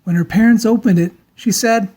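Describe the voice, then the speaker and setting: A woman narrating a children's storybook aloud in a dramatic, theatrical style with deliberate emphasis. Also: a little high, background noise.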